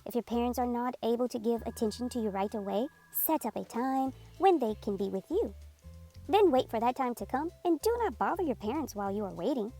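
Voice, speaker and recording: A woman reading a children's picture book aloud in a clear, gently instructive way. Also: fairly high pitch. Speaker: storyteller.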